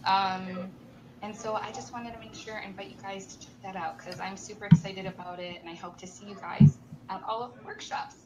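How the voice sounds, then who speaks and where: A woman's voice: mid-range voice, fairly even intonation, a little fast, background noise. A woman hosting a live-stream chat show while sick, her voice strained, chatty and upbeat with many ums as she greets viewers by name. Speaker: show host, marketing director. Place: company studio with a control room.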